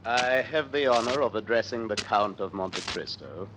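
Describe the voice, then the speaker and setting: An actor playing a fussy, self-important banker baron with a formal, pompous manner in 1930s radio drama style. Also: male voice, lowish voice, normal speed, lightly acted. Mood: pompous, uneasy. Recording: noisy old radio broadcast.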